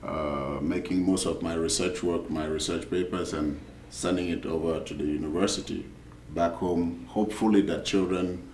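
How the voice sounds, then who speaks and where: Deep cameroonian-born guy, slow careful answers, lots of uhs, far off mic in a roomy space. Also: steady tone, a little mellow. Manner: measured, pauses with fillers. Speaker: poet and author. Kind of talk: panel discussion answer. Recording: echoey room, far from the mic, faint noise.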